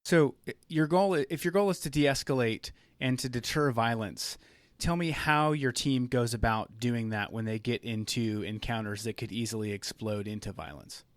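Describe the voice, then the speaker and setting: Podcast host asking measured questions, dry sound. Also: male voice, medium pitch, no room sound. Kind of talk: interview questions.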